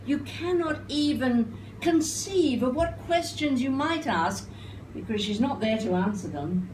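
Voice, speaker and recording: An older woman performs a spoken-word poem at an unhurried pace, weighing her phrases with a wry, theatrical touch. She is picked up from a distance in a reverberant room, with clear noise on the recording. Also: medium pitch. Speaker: performance poet and singer. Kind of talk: live spoken-word poetry recital. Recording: roomy, noisy live recording, distant mic.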